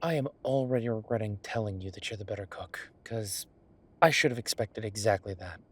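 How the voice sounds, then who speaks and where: A man playing an affectionate, teasing chef boyfriend in an intimate roleplay audio, talking in a conversational, reassuring way with a husky voice. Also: on the low side, fairly quick, a bit bright. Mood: playful, sheepish. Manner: conversational, reassuring, playfully bantering. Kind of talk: intimate one-sided boyfriend roleplay acting.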